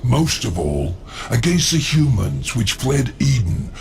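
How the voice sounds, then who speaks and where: An actor playing a cunning, haughty demon lord in a video game, urbane, measured and condescending. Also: male voice, medium pitch, big pitch swings, vocal fry, exaggerated voice. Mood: measured, evasive. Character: cunning, haughty male demon lord, coolly self-serving ally. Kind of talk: theatrical video game acting. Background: music.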